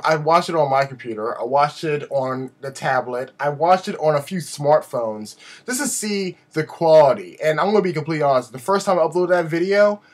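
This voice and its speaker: A man giving a casual, rambling vlog update in an animated, self-deprecating way, his voice slightly raspy from a sore throat. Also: a little high. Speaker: video blogger.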